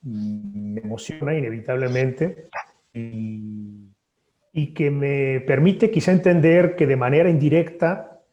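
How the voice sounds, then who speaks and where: A man, a poet and native Spanish speaker, speaking thoughtfully at a book presentation with measured hesitations. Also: medium pitch, mellow, highs cut off, faint music behind. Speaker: poet and author. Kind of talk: reflective talk at a book presentation.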